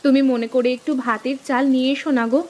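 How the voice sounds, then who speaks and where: A woman playing an earnest, practical village farmer's wife in an acted story, with expressive delivery. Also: high voice, fast talker, natural voice. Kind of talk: acted Bengali moral story dialogue.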